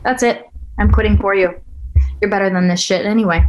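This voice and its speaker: A woman's voice: mid-range voice, fairly even intonation, a little fast, natural voice. A woman playing a blunt, teasing, eager young girlfriend with a brash manner in a screenplay table read. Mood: exasperated, goading. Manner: brash, foul-mouthed banter.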